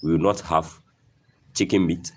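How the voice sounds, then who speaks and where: A man's voice: deep voice, steady tone, measured pace, breathy edge. A man from Ghana with a Ghanaian English accent, giving an emphatic motivational talk about farming and often repeating himself and asking "okay?". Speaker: poultry farmer.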